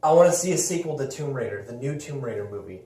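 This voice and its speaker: A man's voice: mid-range voice, expressive, fast, warm tone. A man hosting a podcast, chatting casually about games and films in an enthusiastic, rambling, informal way. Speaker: podcast host. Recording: roomy echo, distant from microphone.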